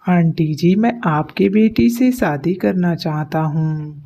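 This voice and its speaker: A woman's voice: fairly low pitch, slow, mellow, pitched below the performer's own voice. A woman voicing a polite, bashful young village man in a cartoon story, with a courteous, mild manner. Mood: puzzled, polite.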